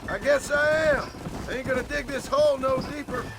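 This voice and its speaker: An actor playing a guarded, sardonic gunslinger with an American accent and a terse way of talking in a video game. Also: male voice, very high-pitched, steady tone, a little fast, vocal fry. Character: guarded, dry, threatening gunslinger, reluctant lawman's helper. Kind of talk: dramatic video game acting. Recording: noisy game audio, outdoor ambience.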